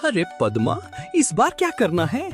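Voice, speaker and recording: A woman voicing a simple, excitable farmer man in a cartoon moral story, speaking in dramatic exclamations. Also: medium pitch, normal speed, faint music behind. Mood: amused, impressed.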